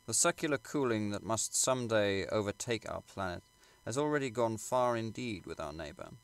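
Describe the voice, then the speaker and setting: A man reading literary prose aloud as an audiobook narrator, in a measured, formal and dramatic way. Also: on the low side, lively intonation, vocal fry. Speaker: audiobook reader.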